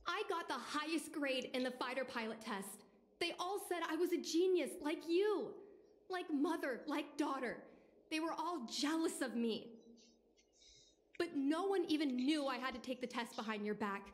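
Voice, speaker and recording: A woman's voice: sits high, animated, normal speed. A woman voicing a headstrong, indignant young daughter in a video game drama. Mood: defiant, indignant. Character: headstrong, defiant young daughter, aspiring pilot. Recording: game audio with music behind. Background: music.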